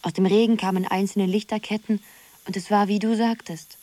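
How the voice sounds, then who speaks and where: An actress playing a dreamy, wistful young woman who muses haltingly in a poetic radio drama. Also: medium pitch, steady tone, mellow, no room sound, close to an everyday voice. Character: Zoe, dreamy young woman drifting through a surreal world.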